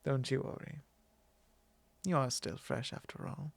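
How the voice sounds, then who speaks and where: Vampire lord , male actor: courtly, possessive, condescending; unhurried creaky delivery, close intimate ASMR. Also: mid-range voice, no room sound. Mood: genteel, indulgent. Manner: formal, unhurried, condescending, with soft chuckles. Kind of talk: ASMR roleplay, intimate monologue.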